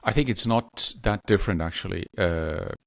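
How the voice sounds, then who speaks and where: A man, a podcast guest, who talks in a halting way with many restarts. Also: deep voice, creaky, no room sound, studio quality. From Denmark. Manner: hesitant, many restarts and fillers. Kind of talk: conversational interview.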